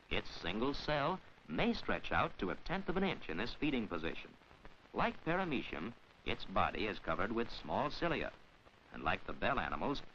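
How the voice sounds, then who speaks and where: A man delivering formal scripted narration in the measured, instructional manner of a mid-century educational science film, with careful enunciation. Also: fairly low pitch, sharp tone. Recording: dull, hissy 1940s film soundtrack.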